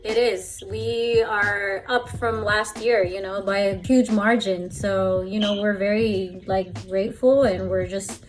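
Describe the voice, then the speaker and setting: A woman's voice: medium pitch, steady tone, takes it slowly, few pauses, soft background music. A young adult woman from the Philippines, a fashion stylist, chatting casually in an interview with lots of "like" and "you know".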